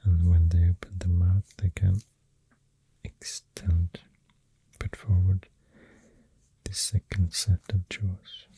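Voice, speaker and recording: A soft-spoken ASMR host with a Swedish accent, rambling gently through facts in a hushed, breathy, whispery voice with unhurried pauses, close to the microphone. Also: deep voice, little pitch movement, dry recording, low-quality recording. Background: pencil writing and clicking sounds.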